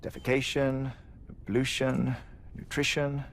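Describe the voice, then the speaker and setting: An actor playing an eccentric, polite, bookish man in a video game cinematic, speaking formally and wordily with halting asides. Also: mid-range voice, level tone, creaky, slight background noise. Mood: musing, awkward. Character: eccentric, polite, bookish man living in short timed cycles.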